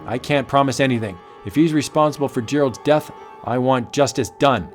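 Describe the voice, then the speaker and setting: A man's voice: mid-range voice, a little fast, soft background music, lightly acted. A man playing a grave, earnest anthropologist obsessed with magic, in a solemn, dramatic comic book audio drama style. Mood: determined.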